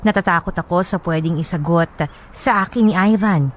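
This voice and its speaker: A woman's voice: on the low side, moderate pace, slight background noise, lightly acted. A woman playing a young bride-to-be, telling her story in earnest, confiding dramatic narration for a radio drama. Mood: troubled, anxious. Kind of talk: dramatic first-person scripted narration. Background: music bed.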